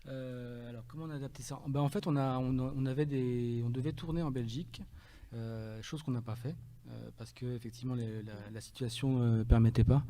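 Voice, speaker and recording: A man with a very dark, mellow voice at a middle pitch. He speaks haltingly, with many restarts, as a guest on a radio show. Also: steady tone. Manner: hesitant, many fillers and restarts. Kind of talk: radio interview.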